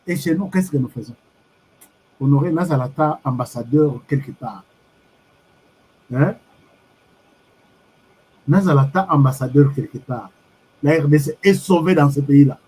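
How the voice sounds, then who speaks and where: A Congolese man with a husky voice gives an animated political commentary, switching between French and Lingala and reacting to viewer comments. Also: a little high, unhurried, pauses often, poor audio. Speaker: online commentator. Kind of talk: live-streamed political commentary.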